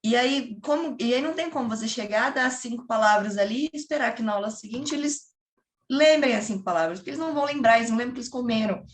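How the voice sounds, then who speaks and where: A woman who teaches English, talking casually to fellow teachers in a training session, chatty and animated, acting out classroom chants. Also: fairly high pitch, quick pace. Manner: chatty, lively, quotes children and classroom chants. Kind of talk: casual explanatory talk to colleagues.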